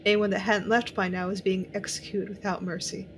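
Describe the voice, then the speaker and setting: A woman's voice: medium pitch, level tone, a little fast, a little hiss or hum, close to an everyday voice. A woman playing a tense, watchful young intelligence agent, narrating her own story in an audio drama. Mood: grim, urgent. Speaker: narrator and lead character. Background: music.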